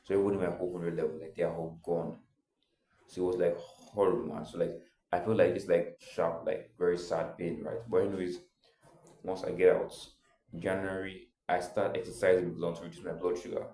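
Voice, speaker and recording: A man's voice: low voice, little pitch movement, brisk, dark tone, roomy sound, a little hiss or hum. A young adult man, telling a personal story in a casual, rambling way full of filler words. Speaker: vlogger.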